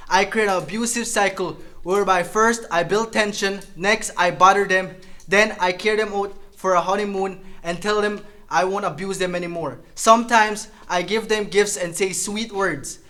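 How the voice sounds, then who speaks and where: A man's voice: sits high, steady tone, normal speed, pushed and bright. A man playing a controlling, insecure abuser who confesses in a declarative monologue for an educational drama. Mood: blunt, confessional. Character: controlling, insecure male domestic abuser confessing his tactics.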